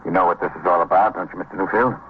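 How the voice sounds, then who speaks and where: An actor playing a calm American police lieutenant in a vintage radio procedural, clipped and matter-of-fact. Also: male voice, sits low, very fast, natural voice. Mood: questioning. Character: calm, dutiful male police lieutenant, also narrating. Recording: dull, noisy vintage radio recording.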